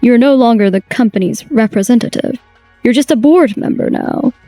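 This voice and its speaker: An actress playing a calm, authoritative company chairwoman in a manga dub. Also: fairly high pitch, normal speed, soft background music, close to an everyday voice. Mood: stern. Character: stern, composed female company chairwoman.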